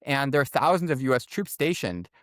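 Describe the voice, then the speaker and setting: A man's voice: mid-range voice, sing-song, brisk, a bit bright, no echo. An American man with a mid-range voice answers as an interview guest. He explains things in an articulate, orderly and informative way. The recording is dry, with hardly any room sound. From United States. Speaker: guest author and former journalist.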